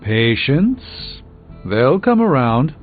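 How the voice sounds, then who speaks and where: A man's voice: mid-range voice, very animated, slow. An actor playing a pompous, refined middle-aged male sorcerer in a video game, speaking formally with dry wit. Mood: grandly self-satisfied. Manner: pompous, formal, dryly wry, theatrical. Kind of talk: scripted video game dialogue. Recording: thin phone-like band, music behind.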